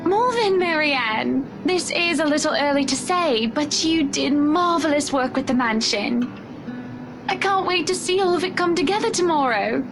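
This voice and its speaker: A woman voicing a pampered, anxious high-society wife in a visual novel, genteel and dramatic in manner. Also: lively intonation, normal speed, rough recording. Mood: gracious, insistent. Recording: music behind the voice.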